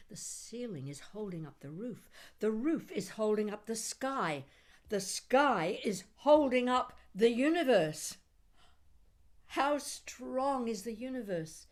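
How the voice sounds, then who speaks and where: Slow-paced woman reading poetry expressively. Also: medium pitch. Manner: deliberate, measured phrasing with dramatic pauses. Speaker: poet reading own work. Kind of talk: expressive poetry reading with brief chatty asides.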